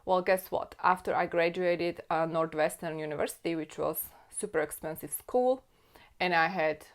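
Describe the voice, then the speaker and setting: A woman from Slovakia with a Slovak accent, an immigration attorney talking straight to camera and repeating her key points earnestly. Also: fairly low pitch. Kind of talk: explainer video to camera.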